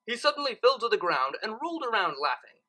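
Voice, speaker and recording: Woman, story reader and host, casual, bright tone, animated pitch. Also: quick pace. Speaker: fan fiction reader and channel host. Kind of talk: story narration and casual chat.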